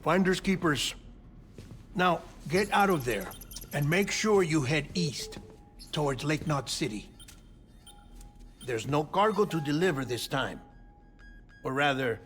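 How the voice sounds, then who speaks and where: A brisk, practical teammate handing out instructions in a game cutscene. His voice sits a little high, with faint music under it. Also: lively intonation, fairly bright tone. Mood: brisk, urging. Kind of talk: cinematic video game dialogue.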